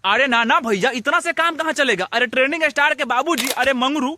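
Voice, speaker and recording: A man's voice: extremely high pitch, brisk, crowd noise. A man with a Bhojpuri accent hosting a celebrity gossip video in teasing, exclamatory scripted comic narration. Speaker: host of a Bhojpuri entertainment gossip video channel.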